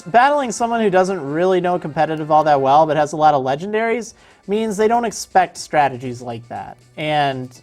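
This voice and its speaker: A woman's voice: sits low, a little mellow. A woman streaming a video game in casual chat, answering viewers as she plays, her voice strained and tiring. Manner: chatty, answers viewer chat while playing.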